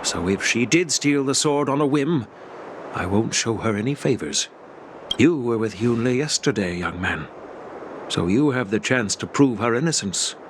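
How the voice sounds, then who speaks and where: An actor as a stern authority, noisy background. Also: male voice, mid-range voice, animated, moderate pace, natural voice. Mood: grave, composed. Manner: formal, composed. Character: stern, fair-minded male authority figure. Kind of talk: video game voice acting.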